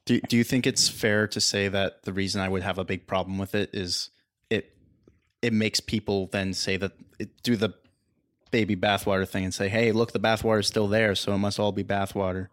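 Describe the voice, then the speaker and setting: Low-voiced man, hesitant and agreeable. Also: little pitch movement, a little fast. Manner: hesitant, searching for words, agreeable. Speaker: podcast co-host. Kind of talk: casual discussion.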